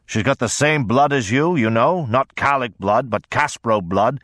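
A man's voice: medium pitch, a little slow, pitched above the performer's own voice, close to an everyday voice. Man voicing a brassy, crowing old lord. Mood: jovial, goading. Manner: loud, boastful, mocking. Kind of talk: audiobook character voice.

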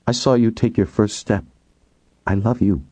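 A man voicing a grieving middle-aged woman set on revenge in an audiobook, calm and deliberate. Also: lowish voice, husky, dry recording, pitched above the performer's own voice. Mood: tearful calm. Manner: calm, murmuring, deliberate, snapping when challenged.

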